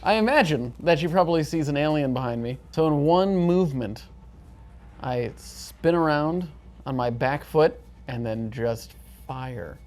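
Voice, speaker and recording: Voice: mid-range voice, animated, measured pace, darkish tone. A playful player in a tabletop role-playing game, chatting casually and joking while describing moves. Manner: playful, jokey.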